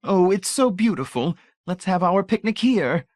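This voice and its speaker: A gushing, fussy older lady with a high, sing-song voice, as a male audiobook reader puts her on. She coos over small things and calls out to the others in a dry, close storybook reading. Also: unhurried, breathy edge, no room sound. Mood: delighted. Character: fussy cheerful older woman picnicking.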